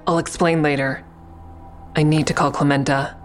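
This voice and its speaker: A low-pitched woman , performed by an actress, in a naturalistic audio drama. She is earnest but guarded, choosing her words with measured care and keeping some back. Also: little pitch movement, over loud music, close to an everyday voice. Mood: wary, subdued. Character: guarded, earnest woman negotiating with police, also narrating.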